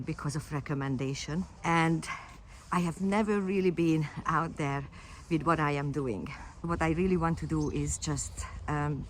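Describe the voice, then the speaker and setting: A woman's voice: low-pitched, slight background noise. A Hungarian woman, a fitness coach, talking casually and unscripted to camera, with frequent fillers and restarts. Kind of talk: casual personal vlog to camera.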